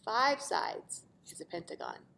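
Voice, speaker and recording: A woman teacher reading a storybook expressively to children, pausing to explain simply and ask questions. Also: mid-range voice, vocal fry, slight background noise. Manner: animated, asks the listeners questions.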